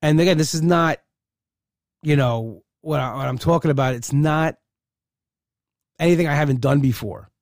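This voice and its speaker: A man's voice: on the high side, moderate pace, long pauses, dry recording. Rambling, candid man on a dry mic. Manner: rambling, candid, many restarts. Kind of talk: casual personal monologue.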